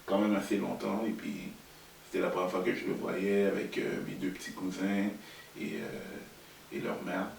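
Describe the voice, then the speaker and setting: A man co-hosts a casual podcast, his talk easygoing and hesitant with lots of fillers. His voice is very low and gravelly, with a flat, even delivery. He sounds set back from the mic in an echoey room with a faint hiss. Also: moderate pace, distant mic. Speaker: podcast co-host.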